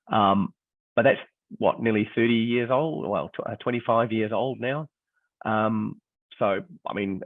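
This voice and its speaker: A middle-aged man with a New Zealand accent talks through a webinar, his low voice croaky and gravelly from illness. He hesitates often, filling pauses with ums. The sound is dry and thin, like a phone-line video call. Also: dry recording. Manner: hesitant, many ums and restarts. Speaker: history teacher and textbook author. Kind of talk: webinar presentation.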